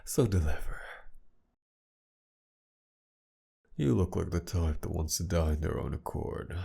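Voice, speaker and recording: A very deep, gravelly villain, acted by a man, speaks slowly and teasingly in an intimate ASMR roleplay. He is possessive and sadistic, flirting as he threatens, with a husky breathiness that often sinks toward a whisper. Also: warm tone, full character voice. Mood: cold threatening. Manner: teasing, taunting, slow drawl with breathy whispers and sighs.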